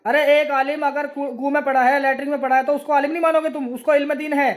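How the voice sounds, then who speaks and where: A man's voice: extremely high pitch, flat delivery, fast, slight background noise. A man arguing insistently in a religious debate over a call, repeating himself and cutting in. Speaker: channel host debating a caller.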